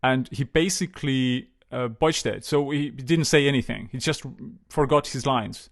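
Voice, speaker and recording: A man in casual conversation, telling stories in a hesitant, relaxed way, with many fillers and restarts. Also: medium pitch, normal speed. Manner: storytelling, relaxed.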